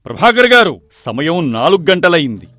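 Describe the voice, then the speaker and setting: A man voicing a proud, scheming rich townsman in an animated moral story, boastful and taunting. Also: on the high side, moderate pace, dry recording. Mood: triumphant. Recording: background music under the voice.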